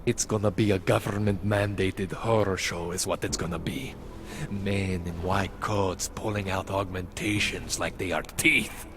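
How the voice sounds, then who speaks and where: An actor playing an excitable, boastful male augmentation doctor in a video game cutscene. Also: sits low, unhurried, noisy background. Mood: eager. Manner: eager, boastful, joking. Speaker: tech specialist fixing implants.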